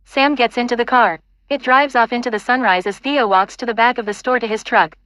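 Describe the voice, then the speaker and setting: A woman's voice: on the high side, steady tone, brisk, fairly bright tone, no echo. A woman reading scripted stage directions as the narrator of an audio play.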